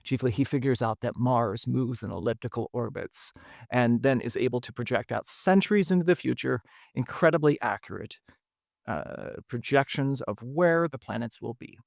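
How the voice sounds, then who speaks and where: A man hosting a podcast, telling a history story in a relaxed, conversational way, with frequent fillers. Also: medium pitch, normal speed, vocal fry, no echo, studio quality. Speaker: podcast host. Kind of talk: casual storytelling narration.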